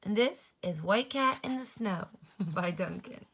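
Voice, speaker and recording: A woman's voice: mid-range voice, expressive, a little slow, a bit bright, a little hiss or hum. A woman teacher doing a children's read-aloud, talking expressively to young listeners and prompting them.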